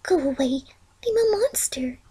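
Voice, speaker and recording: A woman's voice: high voice, expressive, measured pace, dark tone, pitched above the performer's own voice. A woman voicing a timid, frightened young female pony in a fan story, with a meek, whispery, faltering voice. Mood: distraught, tearful.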